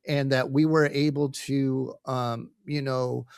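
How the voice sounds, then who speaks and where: A man giving an earnest, personal interview as a podcast guest, speaking in a halting, reflective way with pauses and repeated words. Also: medium pitch, flat delivery, creaky, darkish tone, clear, resonant tone, no echo, clean studio sound. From United States. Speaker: podcast guest, family caregiver.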